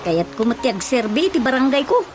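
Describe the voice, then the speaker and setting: A woman's voice: high-pitched, big pitch swings, brisk, a little hiss or hum. A woman with an Ilocano Filipino accent playing an eager, deferential tomboyish recruit in a radio drama.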